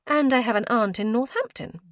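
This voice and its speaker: A woman doing a gushing, high young society lady. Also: a little fast, dry recording, studio quality, lightly acted. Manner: gushing, self-assured.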